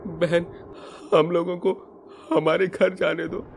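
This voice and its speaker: A man's voice: high voice, little pitch movement, slow, gravelly, noisy background, heavily acted. A man voicing a worried male villager in a cartoon story, speaking earnestly. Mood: pleading. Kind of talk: cartoon voice acting.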